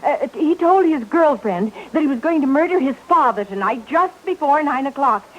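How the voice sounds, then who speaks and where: An actress playing an earnest, take-charge middle-aged woman in a 1950s radio drama, speaking in a deliberate, insistent way. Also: sits high, animated. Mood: anxious. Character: earnest, take-charge civic-minded woman, urgently investigating. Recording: muffled, noisy old radio recording.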